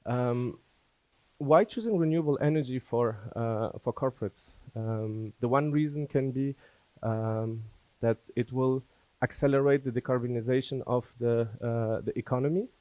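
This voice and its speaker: A man from Afghanistan who was schooled in France, giving a business presentation as a company founder, with halting, hesitant phrasing. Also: medium pitch, a little mellow, no echo, low-quality recording. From born in Afghanistan, schooled in France.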